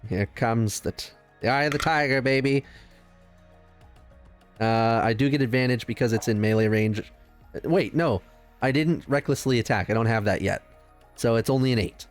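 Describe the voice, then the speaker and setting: A man's voice: mid-range voice, normal speed, long pauses. A man chatting casually as a player in a tabletop roleplaying game, thinking aloud as he goes. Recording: music under the voice.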